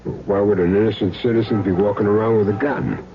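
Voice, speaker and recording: An actor playing a cool, wisecracking hired killer in a radio drama, dry and glib. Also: male voice, lowish voice, fairly even intonation, fairly quick, slight background noise, lightly acted. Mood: wary, evasive. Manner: dry, glib, evasive answers. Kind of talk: 1970s radio drama acting. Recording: dull vintage radio broadcast.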